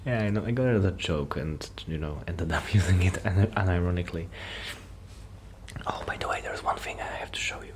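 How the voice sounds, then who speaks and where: Male, low-voiced, soft ASMR delivery bordering on a whisper; shy, halting roleplay ramble, slightly noisy recording. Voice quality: soft, breathy, often near a whisper. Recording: noticeable background noise.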